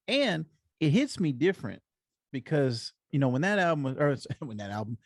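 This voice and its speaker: Creaky-voiced American man, casual podcast co-host. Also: a little high, very animated, moderate pace, dry recording, clean studio sound. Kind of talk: casual chat.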